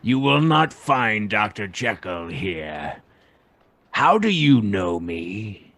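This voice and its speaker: A man's voice: lowish voice, very animated, takes it slowly, fairly bright tone, no echo, full character voice. A man playing a sinister, barely human young villain in a Gothic radio play, curt, mocking and menacing. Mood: cold, guarded.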